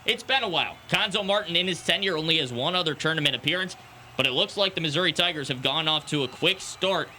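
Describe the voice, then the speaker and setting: Bright-voiced young male sportscaster. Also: fairly high pitch, fast talker, slight background noise. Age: young adult. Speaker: sports anchor and radio play-by-play commentator. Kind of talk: sports headlines and live basketball commentary.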